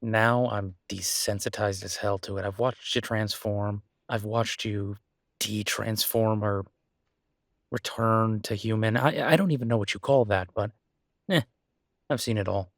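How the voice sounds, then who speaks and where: A man's voice: on the low side, steady tone, a little slow, creaky, no echo, studio quality. A man playing a devoted, reassuring human boyfriend in an intimate roleplay audio, speaking casually and hesitantly. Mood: candid, teasing. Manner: hesitant, casual, soothing, with soft chuckles. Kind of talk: roleplay audio acting, intimate one-sided conversation.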